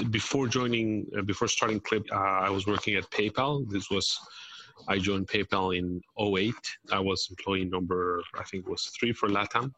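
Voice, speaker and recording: A man from Mexico City, answering interview questions conversationally, often halting and correcting himself. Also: lowish voice, pushed and bright, a little hiss or hum, low-quality recording. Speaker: podcast guest, company founder.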